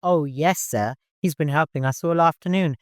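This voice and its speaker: A man voicing a bossy, motherly older seamstress in an audiobook, teasing and good-humored, brisk and respectful but firm. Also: a little high, no echo, studio quality, close to an everyday voice. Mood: cheerful.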